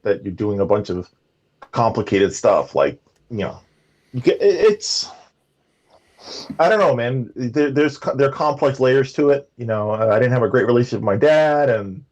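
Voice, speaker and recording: Booming New York man, halting. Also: darkish tone, highs cut off. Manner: halting, restarts a lot, defensive. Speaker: livestream guest. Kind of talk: casual livestream debate.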